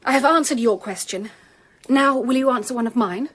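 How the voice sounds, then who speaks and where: Composed, earnest, high-voiced gentlewoman. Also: moderate pace. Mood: firm, composed. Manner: polite, questioning, controlled. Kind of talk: radio drama acting.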